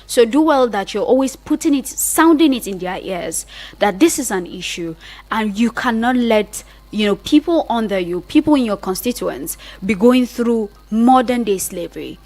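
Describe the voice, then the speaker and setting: A woman's voice: fairly high pitch, animated, normal speed, darkish tone. A woman radio host with a Nigerian accent speaks live on air. Her delivery is conversational and earnest, addressing listeners directly as she urges them to take part.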